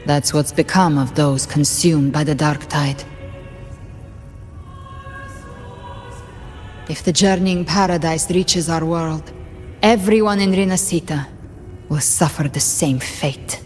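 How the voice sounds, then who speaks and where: A grave, low-voiced guide. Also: female voice, soft background music. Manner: grave, measured, calm. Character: solemn, knowing woman guide of lost souls. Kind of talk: dramatic fantasy game acting.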